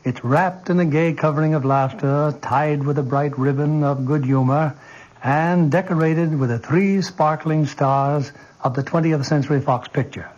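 A man's voice: fairly high pitch, moderate pace, darkish tone. A man hosting and narrating in a formal, polished, scripted 1940s radio style. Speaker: host and narrator. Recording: muffled old radio broadcast.

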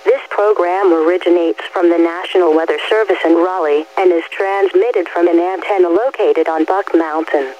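High male station announcer, formal read, dull radio sound with faint hum. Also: fairly even intonation, moderate pace, few pauses. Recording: dull, hummy weather radio reception.

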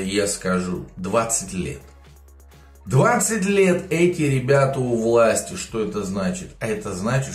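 A man's voice: medium pitch, roomy sound. A man in his forties giving emotional, indignant political commentary to camera from a home studio, gesturing a lot as he talks. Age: 40s. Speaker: political video blogger. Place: home studio room with plants.

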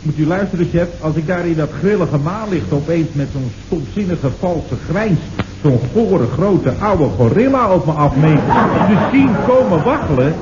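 Male actor as glib underling, slightly high voice, unflappable, wordy, pretentious, radio comedy, muffled old recording. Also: expressive, moderate pace, noisy background, natural voice. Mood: excusing. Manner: hesitant openings, then wordy mock-learned explaining. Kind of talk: 1970s Dutch radio comedy acting.

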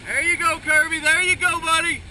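A man cheering and shouting encouragement while fishing at sea, recorded on a boat-mounted action camera. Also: very high voice, a little fast, low-quality recording. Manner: shouts and whoops with excitement. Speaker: angler filming a fishing trip. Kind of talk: excited live cheering. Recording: boat engine and wind noise.

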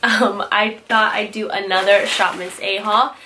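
A woman's voice: medium pitch, steady tone, measured pace, pushed and bright, a little hiss or hum, poor audio. A young woman in her twenties chatting casually through a haul video, a little nasal from a cold and often correcting herself as she goes. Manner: chatty, rambling, self-correcting. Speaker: beauty vlogger. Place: small bright bedroom with louvered closet doors.

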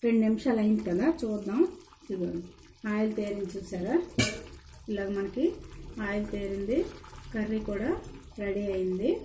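A woman's voice: mid-range voice, normal speed, long pauses, mellow. A woman giving an informal cooking demonstration, instructing viewers directly as a home cook. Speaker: home cook presenting a recipe. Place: indoor home kitchen at the stove. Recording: roomy kitchen sound with background noise.

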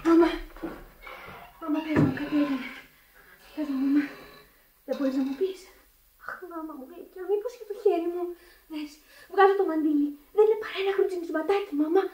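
A teenage daughter with an extremely high, girlish voice. She is sweet and excitable, gushing and pleading in theatrical radio style. The old recording has a roomy echo. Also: pauses often. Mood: tender, pleading. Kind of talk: theatrical radio drama acting.

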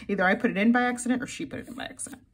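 A woman's voice: a little high, racing. A young woman chatting casually through a sticker haul at her desk, gushing and giggly, her voice a little froggy from the early morning. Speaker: planner vlogger.

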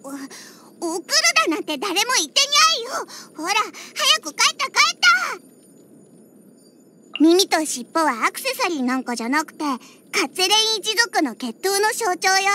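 A woman's voice: very high-pitched, big pitch swings, normal speed, heavy background noise. A woman voicing a proud, huffy young cat-girl bartender in an anime-style game, with a small childlike voice and cat-like word endings. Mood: huffy, flustered, then indignant. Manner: huffy, tsundere, slipping into cat-like "nya" endings. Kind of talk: Japanese anime-style game character voice lines.

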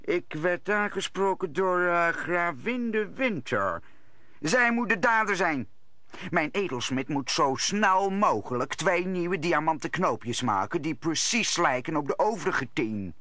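An actor playing an agitated, decisive duke in a radio play. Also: male voice, on the high side, lively intonation, a little slow, bright, forceful tone, dry recording. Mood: alarmed.

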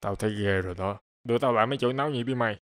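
A man's voice: medium pitch, racing, fairly bright tone, close to an everyday voice. A man voicing a grumpy old southern Vietnamese grandpa. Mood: grumbling. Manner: complaining, pleading. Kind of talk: animated comedy dialogue. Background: music.

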